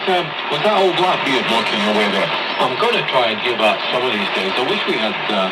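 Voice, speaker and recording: A Scottish man hosting a radio show with casual, halting, rambling chat over a shortwave broadcast. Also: lowish voice. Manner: halting, hesitant, conversational. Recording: noisy shortwave radio broadcast, distant sound.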